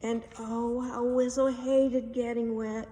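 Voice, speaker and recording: A woman's voice: fairly high pitch, level tone, takes it slowly, warm tone. A woman reading a children's storybook aloud with dramatic, emphatic delivery. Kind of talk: expressive children's storybook narration. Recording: distant mic, echoey hall, faint noise.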